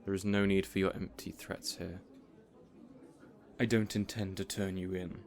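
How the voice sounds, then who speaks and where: A man playing a cold, menacing supervillain in an audio roleplay, speaking in a measured, formal, quietly threatening way. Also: low voice, level tone. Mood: cold menace.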